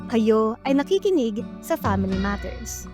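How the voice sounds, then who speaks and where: A woman's voice: fairly high pitch, big pitch swings, unhurried. A woman with a Filipino accent reading scripted narration for a radio drama. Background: music.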